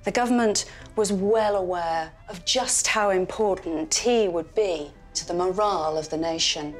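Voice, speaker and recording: A woman giving clear, scripted documentary narration recorded as a studio voice-over. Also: lowish voice, measured pace, faint music behind. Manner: clear, storytelling delivery. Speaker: TV presenter.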